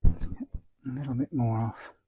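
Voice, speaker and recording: A man giving a quiet, casual hands-on ASMR demonstration, murmuring to himself as he works and at times nearly whispering. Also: medium pitch, animated, moderate pace, heavy background noise. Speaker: presenter of a restoration demonstration.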